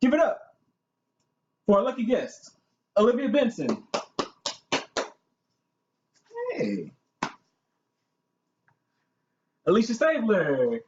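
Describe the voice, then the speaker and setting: A man's voice: high voice, very animated, moderate pace, creaky, a little mellow, exaggerated voice. A man playing a gushing, chatty talk show host in a staged amateur show. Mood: welcoming. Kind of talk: hosting a staged student-made talk show. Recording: echoey, muffled room recording with hiss.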